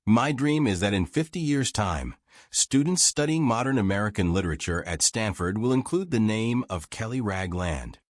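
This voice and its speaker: A man's voice: on the low side, expressive, moderate pace, no room sound, clean studio sound, lightly acted. A man voicing an eager, curious young woman who hopes to write, speaking politely and inquisitively in audiobook dialogue. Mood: admiring, hopeful.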